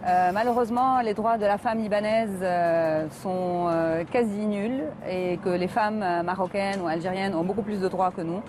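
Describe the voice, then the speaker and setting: A middle-aged woman from Lebanon speaks earnestly at a mid pitch. She stops often to hesitate while answering as a talk show guest. A clear noise runs steadily behind her voice. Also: normal speed, few pauses. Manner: earnest, many hesitations with euh. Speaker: environmental activist guest.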